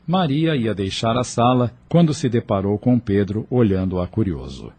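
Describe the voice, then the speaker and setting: A man's voice: on the low side, expressive, normal speed, warm tone, slightly rough, no echo. A man with a Brazilian accent reading scripted narration for an audio novel. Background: music.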